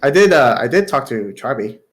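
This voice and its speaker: A young man with a light, airy voice and a slight Southern US accent. He co-hosts a podcast in easygoing, laid-back chat, quick to laugh, at a middling pitch. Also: very animated. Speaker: podcast co-host. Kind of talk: casual chat.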